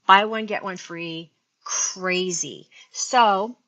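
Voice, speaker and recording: A woman's voice: fairly low pitch, very slow, pushed and bright, low-quality recording. A sixty-year-old woman hosting a casual beauty live stream, chatting and rambling to her viewers, talking into an earphone mic linked to her phone. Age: 60s. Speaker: beauty livestream host.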